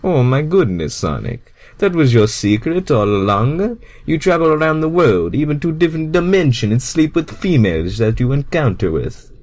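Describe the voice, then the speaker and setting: Man doing an excitable game plumber, raised pitch, exclaiming, very swingy intonation. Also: normal speed, hardly any pauses. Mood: astonished. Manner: exclaiming, astonished, stilted phrasing.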